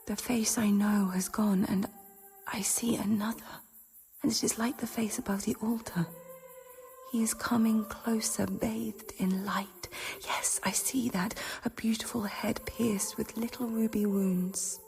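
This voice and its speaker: An actress playing an anguished, feverish teenage convent novice in a radio drama, in a breathy, halting, intense whisper. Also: female voice, medium pitch, steady tone, darkish tone, faint music behind. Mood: dazed wonder. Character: anguished, feverish sixteen-year-old novice girl in visions.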